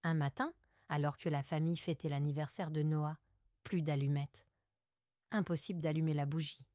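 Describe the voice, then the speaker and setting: A woman's voice: sits low, fairly even intonation, normal speed, warm tone, dry recording, studio quality. A woman reading a scripted children's story as a storyteller.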